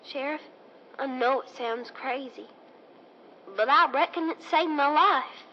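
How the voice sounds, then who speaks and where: A woman's voice: sits high, measured pace. A woman playing a plucky, folksy young country girl in an old-time radio drama. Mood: earnest, shaken. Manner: earnest, folksy. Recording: dull lo-fi radio, faint noise.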